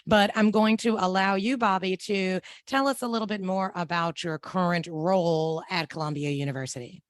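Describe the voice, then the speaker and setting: A woman's voice: on the low side, normal speed, dry recording, clean studio sound. A woman hosting a podcast interview, asking questions in an encouraging, affirming manner. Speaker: podcast host, language teacher.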